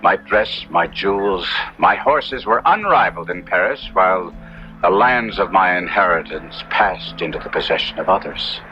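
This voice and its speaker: A man's voice: low voice, lively intonation, moderate pace. An actor playing a proud, self-reproaching young nobleman brought to ruin, narrating formally and dramatically in a 1970s radio drama. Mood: rueful. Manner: dramatic, formal, confessional storytelling. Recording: dull 1970s radio broadcast, music underneath.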